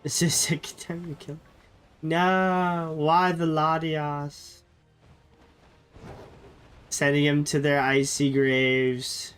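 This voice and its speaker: A man's voice: a little high, level tone, slow, long pauses, fairly bright tone, clean tone, soft background music. A man streaming a game while sick with a cold, sounding nasal and chatting loosely with viewers, often correcting himself. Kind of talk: casual live-stream chat.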